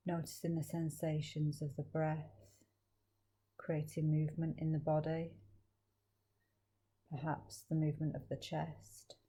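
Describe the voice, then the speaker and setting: A woman leading a guided meditation as a teacher, speaking slowly and gently with long, soothing pauses. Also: low-pitched, completely flat delivery, breathy edge.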